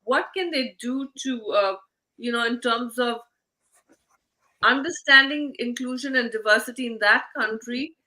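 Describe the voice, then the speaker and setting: A woman with a fairly high, very bright voice, hosting an interview. She chats freely and peppers her speech with "you know" and "uh". Her tone stays crisp and forward. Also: unhurried. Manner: chatty, many fillers like "you know" and "uh". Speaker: host. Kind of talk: conversational interview.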